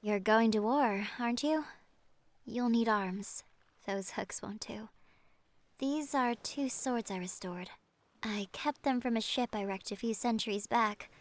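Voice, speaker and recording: A woman playing a kindly ancient siren in an audio adventure, speaking in a gentle, solemn, measured manner. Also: fairly high pitch, dry recording, natural voice. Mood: warm, earnest. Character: kindly ancient siren, a female sea monster. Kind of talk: audio roleplay acting.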